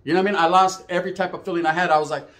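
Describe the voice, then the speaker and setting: A man's voice: sits high, steady tone, rapid-fire, fairly bright tone. A middle-aged man with a Chicano English accent tells stories casually, acting out dialogue in profane slang mixed with Spanish phrases. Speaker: storyteller.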